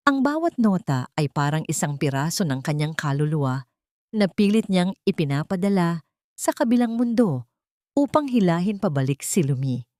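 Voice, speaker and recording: A woman narrating a scripted audio drama in a steady, dramatic storytelling manner. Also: low-pitched, no room sound, studio quality.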